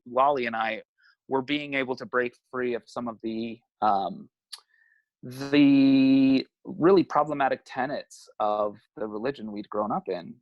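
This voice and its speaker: Guest, male, late 30s; open, thoughtful talker with easy laughs, mid-range pitch. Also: dry recording, lo-fi sound. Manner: reflective, laughs often, swears casually. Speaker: guest, family therapist. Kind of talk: candid conversational interview.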